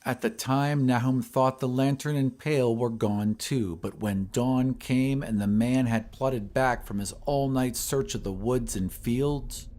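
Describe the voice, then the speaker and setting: A man reading a scripted horror story in a measured, literary storytelling manner. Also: mid-range voice, a little mellow. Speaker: audiobook narrator. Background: ambient sound effects.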